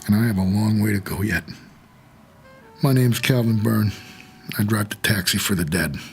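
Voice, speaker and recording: An actor playing a doomed, haunted taxi driver for the dead, narrating an audio drama in a world-weary, measured noir manner. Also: male voice, fairly low pitch, over music, natural voice. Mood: brooding.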